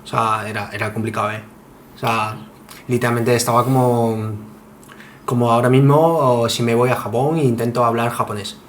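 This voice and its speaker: A man at an average male pitch. He chats casually as a guest, building his answers in loose, hesitant pieces. Background noise is audible. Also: normal speed. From Spain. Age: 20s. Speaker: podcast guest. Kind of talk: casual chat. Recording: clear steady background noise.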